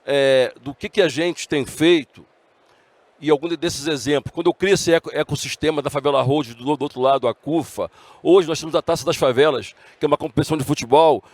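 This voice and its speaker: A man's voice: on the high side, no room sound. A middle-aged man with a Brazilian Portuguese accent giving a conference talk, earnest and often restarting his words. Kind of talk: conference speech. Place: conference stage.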